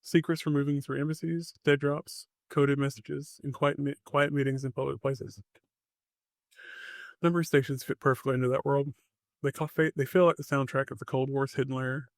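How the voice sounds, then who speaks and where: A male podcast host narrates a mystery script in a gravelly, creaky voice. He speaks in short, dramatic phrases and slips in wry asides. The sound is dry and close, and his pitch stays fairly even. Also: moderate pace, no echo.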